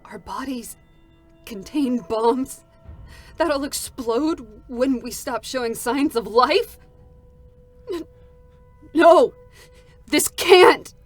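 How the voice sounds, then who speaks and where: A woman's voice: sits high, faint music behind, exaggerated voice. A woman voicing a world-weary, bitter android soldier haunted by loss, in a grave, dramatic game-story reading. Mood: disbelieving, horrified. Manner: slow, brooding, grave delivery. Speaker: voice actor.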